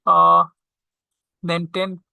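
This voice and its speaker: A tutorial instructor walking through software step by step, often checking in with a short questioning tag. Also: medium pitch, monotone, very slow, vocal fry, dry recording. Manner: checks understanding with frequent "okay?".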